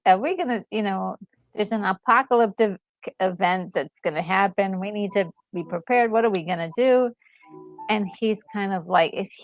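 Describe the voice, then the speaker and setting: A woman's voice: mid-range voice, no room sound, rough recording. A woman co-hosting a gaming video, chatting casually and acting out reactions as she tells the story. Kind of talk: casual gaming commentary and chat. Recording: music playing behind the voice. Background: music.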